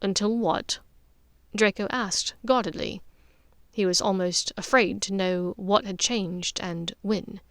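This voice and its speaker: A woman's voice: medium pitch, measured pace, no room sound. A woman reading scripted fiction as an audiobook narrator.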